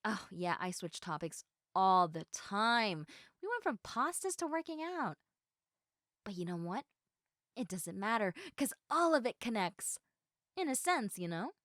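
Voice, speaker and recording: A woman's voice: medium pitch, big pitch swings, unhurried, fairly bright tone, no echo, clean studio sound. A woman playing a quirky, socially awkward, chatty young woman eager for friends, rambling and self-deprecating straight to the listener in an audio roleplay. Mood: playful, rambling. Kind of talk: one-sided audio roleplay addressed to the listener.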